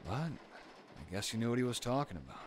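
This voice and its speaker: Young boyfriend, played by a male actor, mid-pitched and expressive, reassuring and gently teasing, intimate comfort audio with light ambient noise. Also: normal speed, natural voice. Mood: teasing, reminiscing. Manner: soft reassuring talk with sighs and teasing.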